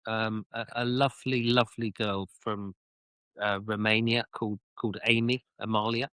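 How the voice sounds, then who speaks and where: A middle-aged British man telling his own story as an interview guest, reflective and halting, often repeating words as he searches for phrasing. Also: on the low side, level tone, pushed and bright, no echo. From United Kingdom. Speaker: interview guest, author and former army officer.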